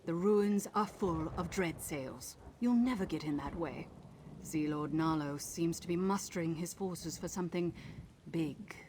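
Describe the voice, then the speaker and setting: A woman's voice: lowish voice, unhurried, dark tone, a little hiss or hum, lightly acted. An actress playing a tough, vengeful seafaring woman with a dry, sardonic manner in a video game. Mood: dry, determined. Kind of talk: video game character dialogue.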